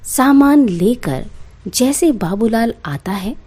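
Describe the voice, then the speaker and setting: Slow, mellow woman storyteller. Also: on the high side, lively intonation, slightly rough. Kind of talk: scripted moral-story narration for children.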